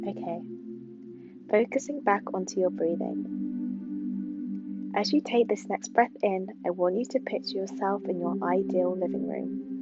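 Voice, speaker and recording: A young woman with a soft, soothing voice, chatting casually as a tarot card reader in a small room at home, filmed by a camera across a desk. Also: medium pitch, muffled recording. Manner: chatty and rambling with fillers, later slow and hushed. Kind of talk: casual pick-a-card reading, then guided meditation.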